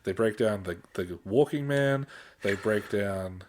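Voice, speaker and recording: A man's voice: on the low side, a little slow. A man chatting casually as a podcast host, in an informal, mildly sweary way. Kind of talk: casual chat.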